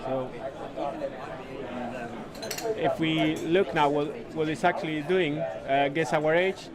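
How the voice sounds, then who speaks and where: A man from Spain with a Spanish accent, a native Spanish speaker, explaining a product in a casual interview with halting, repeated words. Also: fairly high pitch, steady tone, a loud crowd. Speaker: software consultant demonstrating a product, interviewee. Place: busy conference venue.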